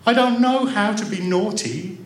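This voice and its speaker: Man voicing high, excitable pleading young children. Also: moderate pace, reverberant, lightly acted, low-quality recording. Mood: desperate, pleading. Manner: excitable, plaintive. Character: eager young children, pleading and desperate to be naughty. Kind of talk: storybook character voices in a read-aloud.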